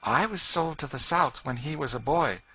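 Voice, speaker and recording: A man's voice: fairly high pitch, lively intonation, moderate pace, pushed and bright, breathy edge, pitched above the performer's own voice, full character voice. A man reading an audiobook, voicing a proud, grieving freed woman who asks eager, emotional questions. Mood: tearful pride. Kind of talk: audiobook character voice.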